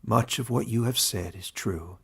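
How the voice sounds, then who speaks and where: A man playing a wise old forest warden in a fantasy story, gentle, soft-spoken and measured. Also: mid-range voice, vocal fry, slightly rough, heavily acted. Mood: gentle, consoling.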